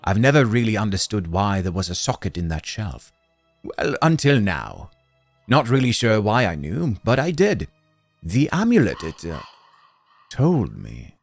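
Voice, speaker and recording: Gentle, puzzled storybook husband, acted by a male narrator: low, hesitant, soothing. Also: wildly swooping pitch, a little slow, vocal fry, no echo, soft background music, full character voice. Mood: bemused. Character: mild, bemused husband and shopkeeper, calmly soothing.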